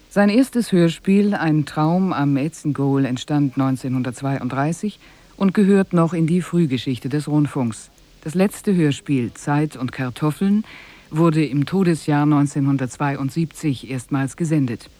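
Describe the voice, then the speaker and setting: A man's voice: fairly high pitch, dark tone. A man presenting a scripted radio feature in a studio, formal and precise, with a standard German accent.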